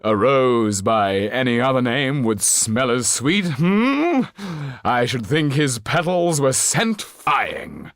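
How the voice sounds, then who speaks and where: A flamboyant, shabby-genteel stage actor, courtly and effusive. He declaims in drawn-out phrases, lifting well above a plain speaking pitch and swooping widely, in a close, dry recording. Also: sing-song, a bit bright, no echo, full character voice. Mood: theatrical relish. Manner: grandiloquent, declamatory, drawn-out delivery.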